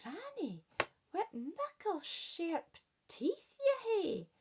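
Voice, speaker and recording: A woman's voice: very high-pitched, huge pitch swings, drawn-out words, noisy background, pitched above the performer's own voice, heavily acted. A woman voicing an innocent little girl with a Scottish accent, asking wondering questions. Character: innocent little girl visiting her granny.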